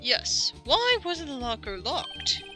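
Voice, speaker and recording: A woman's voice: high-pitched, sing-song, slow, sharp tone, faint music behind, heavily acted. A woman voicing a stern elderly male judge who calls the court to order in a fan voice-acting stream.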